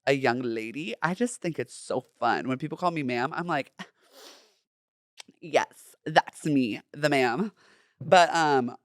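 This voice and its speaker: A young man from Southern California with a feminine, light voice, hosting a personal solo podcast in a chatty, campy, expressive way. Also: medium pitch, no echo, clean studio sound. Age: young adult. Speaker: podcast host.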